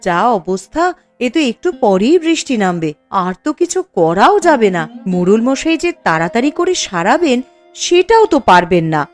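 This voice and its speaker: A woman's voice: fairly high pitch, very animated, normal speed, faint music behind. A woman voicing a worried young village wife in a cartoon, anxious and fretting. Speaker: cartoon character.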